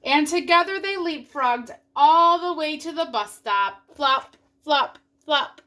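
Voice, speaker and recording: A woman reading a storybook aloud for children in an animated narrating style. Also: high voice, slow pace. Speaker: storybook reader.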